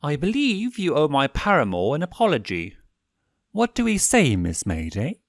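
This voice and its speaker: A man's voice: a little high, huge pitch swings, normal speed, dry recording, clean studio sound, pitched above the performer's own voice. A man voicing a cold, forcibly pleasant demon owl prince from a fan story, speaking formally with theatrical menace. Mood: stern, polite. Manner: formal, courteous, theatrically threatening.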